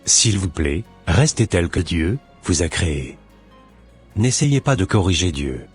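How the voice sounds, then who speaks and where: Man doing a cartoon doctor, middle-aged, preachy, deep, husky, soft background music. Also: unhurried, exaggerated voice. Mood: lecturing. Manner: calm, lecturing and preachy. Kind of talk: amateur cartoon voice acting.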